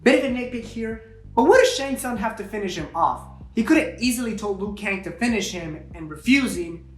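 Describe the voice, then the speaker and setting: A man's voice: high-pitched, expressive, fairly quick, a bit bright. A man co-hosting a scripted comedic film review, speaking in a critical, sarcastic way over music. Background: faint music.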